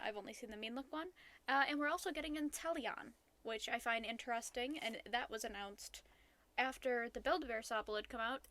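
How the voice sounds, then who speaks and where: A woman's voice: on the high side, sharp tone. A woman co-hosting a casual podcast, talking in a hesitant, hedging way with many ums and restarts. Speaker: podcast co-host.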